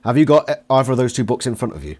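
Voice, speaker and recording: A man speaks at a middle pitch as an interviewer. He chats casually and puts his questions together as he talks, in tentative pieces. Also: big pitch swings, racing, a little mellow.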